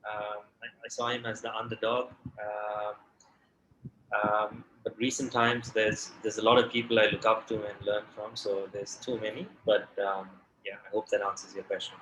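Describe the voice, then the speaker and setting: Man, late 20s-30s, from Sri Lanka, low bright voice, webinar guest on an echoey call. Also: normal speed, slight background noise. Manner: relaxed, many fillers and restarts. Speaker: restaurant founder and CEO. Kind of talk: webinar interview answers. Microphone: video call. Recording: echoey room with faint ambience.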